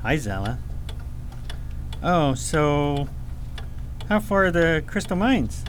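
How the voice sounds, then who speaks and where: Radio fairy tale: curious, eager young prince played by a man, courteous, lively intonation. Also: fairly high pitch, slow pace, slight background noise. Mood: friendly, shy.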